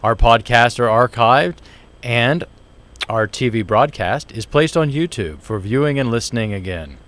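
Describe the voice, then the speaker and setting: A man's voice: mid-range voice, lively intonation, measured pace, a bit bright, no echo. Chatty male talk show host. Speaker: show host, small business center director. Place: university TV studio.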